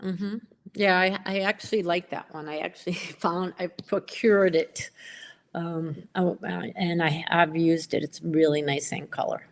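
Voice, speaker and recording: A woman's voice: lowish voice, fairly even intonation, measured pace. A woman co-hosting a casual livestream chat over a video call, talkative and self-deprecating, often laughing. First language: English. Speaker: co-host of a pen livestream.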